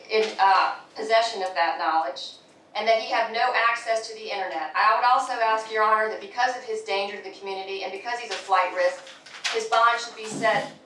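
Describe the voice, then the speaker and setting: A woman's voice: mid-range voice, fairly bright tone. A woman arguing as prosecutor in court, insistent and stumbling over fillers. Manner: insistent, with many fillers and restarts. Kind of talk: courtroom argument. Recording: echoey courtroom audio from a distant mic.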